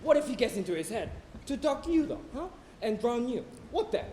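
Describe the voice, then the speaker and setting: A man's voice: extremely high pitch, sing-song, a little fast, dark tone. A man on stage playing a skeptical sailor who questions and needles his shipmate insistently. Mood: needling. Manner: insistent, argumentative. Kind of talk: theatrical stage acting. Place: college theatre stage. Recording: roomy auditorium stage recording, some hiss.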